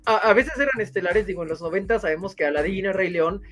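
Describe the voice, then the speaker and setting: A man's voice: high-pitched, fast, fairly bright tone. A man with a Mexican accent giving casual, reflective commentary as co-host of a gaming news show. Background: music behind the voice.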